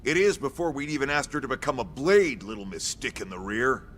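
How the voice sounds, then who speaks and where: An actor playing a gruff, brash middle-aged military commander in a video game, with an American accent, a gravelly voice and a blunt, folksy, salty way of talking. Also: male voice, medium pitch, big pitch swings, fairly quick, fairly bright tone, a little hiss or hum. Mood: teasing, brash. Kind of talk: scripted video game cutscene acting.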